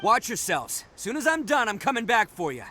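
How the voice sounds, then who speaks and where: An actor playing a brash, boisterous, good-hearted young oni gang boss in an anime-style video game, boastful and loud. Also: male voice, very high voice, big pitch swings, a little fast, exaggerated voice. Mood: determined. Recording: game music behind the voice.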